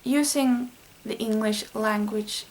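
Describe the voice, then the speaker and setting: A woman's voice: mid-range voice, steady tone, slow, slight background noise. A Swedish-speaking woman explaining language study in a casual, earnest vlog lesson, thinking aloud with small fillers. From Sweden. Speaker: language learning vlogger.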